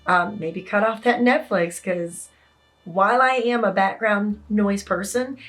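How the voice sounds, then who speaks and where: A woman with a naturally raspy voice, a bit hoarse from yelling, giving casual, chatty writing advice as an author in her home office. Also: medium pitch. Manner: chatty, conversational, lots of "you know". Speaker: indie romance author. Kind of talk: casual talking-head advice vlog. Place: home office with open windows.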